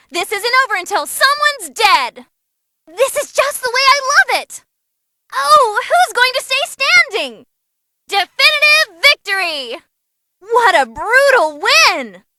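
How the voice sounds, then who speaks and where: A woman's voice: extremely high pitch, sharp tone, a little hiss or hum, heavily acted. A woman playing a perky, bloodthirsty, non-human fight announcer in a game-style performance, theatrical and playful. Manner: gleeful, dramatic, playful. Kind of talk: excited ringside fight commentary.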